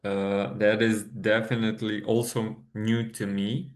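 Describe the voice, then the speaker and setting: A man from Croatia giving an academic presentation over a video call, speaking slowly and haltingly with many filler sounds. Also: fairly low pitch, flat delivery. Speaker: conference presenter. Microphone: computer mic on a video call.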